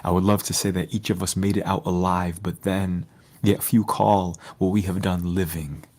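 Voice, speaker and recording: A man giving a solemn, measured, lyrical reading of spoken-word poetry. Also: deep voice, flat delivery, a little mellow. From Detroit, United States. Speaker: poet.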